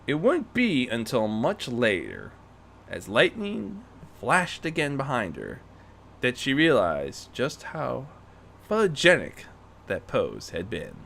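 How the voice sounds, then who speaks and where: A man reads fiction aloud as narrator in a bright, forceful voice. His pitch is very lively, rising and dropping widely across phrases. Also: slow, creaky, a little hiss or hum. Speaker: fan fiction reader and narrator. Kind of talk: animated story narration.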